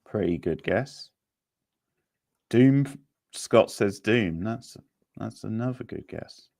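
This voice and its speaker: Male quiz host, low register, unhurried pace, informal live delivery. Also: animated, creaky, a little mellow. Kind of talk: casual live quiz hosting.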